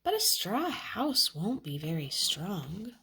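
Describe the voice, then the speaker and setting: A woman reads an obliging storybook tradesman with sensible advice. He speaks in a matter-of-fact manner, with lively pitch movement and an unhurried pace. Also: breathy edge, heavily acted. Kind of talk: storybook character voice.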